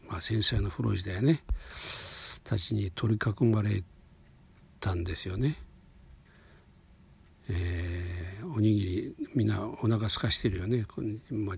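An old man in his eighties tells his recollections at a very slow, halting pace. His voice is extremely husky and rough, with a gravelly creak. He wanders gently from one thought to the next, unscripted. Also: lowish voice. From Hokuriku, Japan. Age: 80s. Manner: halting, meandering, with sentence-final softeners. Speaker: storyteller recounting memories. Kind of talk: unscripted personal reminiscence.

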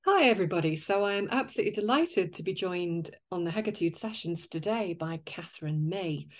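Low-pitched woman hosting a podcast. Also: lively intonation, brisk. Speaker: podcast host and interviewer. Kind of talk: conversational interview with prepared introduction.

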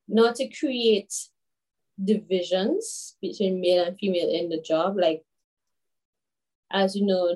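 A woman in her twenties from Grenada, a guest telling stories in a casual interview, chatty and animated with many fillers. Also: lowish voice, low-quality recording. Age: 20s. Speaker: guest, children's author.

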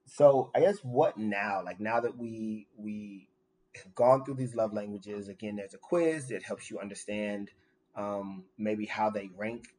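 Low-voiced man musing earnestly. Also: unhurried, darkish tone, poor audio. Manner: earnest, thoughtful, peppered with "um" and "like". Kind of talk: casual podcast chat.

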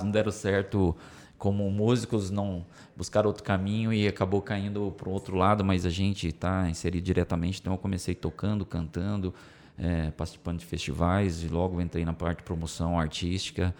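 A man from São Paulo state with a Brazilian Portuguese accent carrying a country twang, telling his story in a relaxed, casual interview. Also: deep voice. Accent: Brazilian Portuguese with a country twang picked up from the Center-West. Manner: storytelling, relaxed, many fillers. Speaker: music publisher guest.

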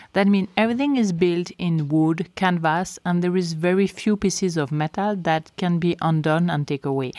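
A woman's voice: fairly low pitch, a little mellow, no echo. A middle-aged woman from French-speaking Switzerland with a Swiss French accent, whose first language is French, hosting a casual podcast and often repeating words for stress. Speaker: podcast host and lodge co-owner.

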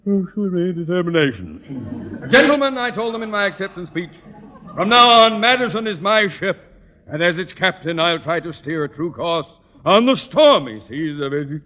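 A pompous, blustering middle-aged principal in an old radio comedy. His fairly high voice swings dramatically up and down with theatrical sarcasm. The recording is muffled and vintage. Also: very animated, moderate pace. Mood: pompous, boastful. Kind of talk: 1940s-50s radio comedy acting.

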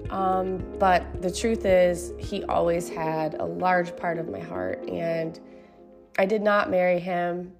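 A woman with a slightly low voice talks in a relaxed, chatty way about her work as an author. She sounds a little stuffy, as if fighting a cold, and keeps things earnest and personal, often tossing in a quick "right?" to the listener. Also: gravelly, music in the background. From Michigan. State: stuffy nose. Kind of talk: casual chat.

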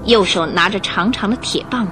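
A woman narrator telling a scripted radio drama story in an expressive, suspenseful style. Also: mid-range voice, moderate pace. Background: music under the voice.

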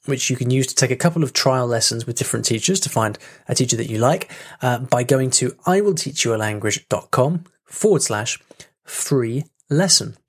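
A man's voice: medium pitch, expressive, moderate pace, husky. Chatty male podcast host. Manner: chatty, self-interrupting with fillers and laughs. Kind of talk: casual solo podcast talk.

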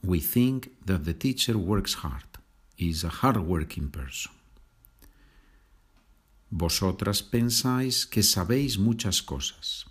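A man with a Spanish accent gives a patient lesson, speaking slowly and repeating each phrase. His voice sits very low, and he takes his time over every word. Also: expressive, breathy edge. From Spain. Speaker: Spanish teacher. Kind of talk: slow, repetitive language lesson.

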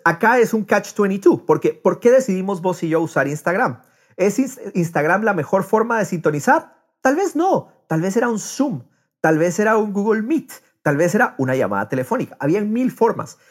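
A man with a slightly husky voice talking casually and emphatically as the host of a podcast. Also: sits high. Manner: animated, emphatic, opinionated. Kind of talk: casual conversational podcast talk.

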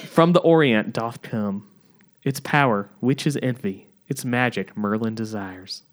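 A man's voice: medium pitch, unhurried, lightly acted. A man playing a mock-solemn barkeep peddling a potion, with an attempted Southern US accent and archaic wording. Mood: portentous. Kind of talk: amateur comic period-play read.

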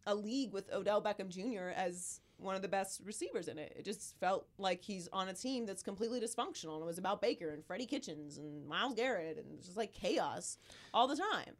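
A woman in her thirties hosting a casual sports podcast, her voice hoarse from illness, talking in an animated, emphatic way. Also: medium pitch, a little fast. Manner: animated, emphatic, riffs with rising exclamations. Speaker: podcast host.

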